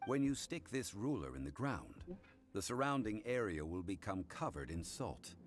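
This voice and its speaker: An actor voicing a calm, formal man who upholds contracts, speaking slowly and with measured authority. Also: fairly low pitch. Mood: stern. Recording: played game audio with faint music.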